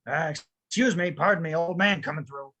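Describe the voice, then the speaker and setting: A man playing a gruff but soft-hearted old widower, gravelly and grumbling, in a script read-through. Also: fairly high pitch, a little fast. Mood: gruff, resolute. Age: older. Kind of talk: casual online table read of an animated film script.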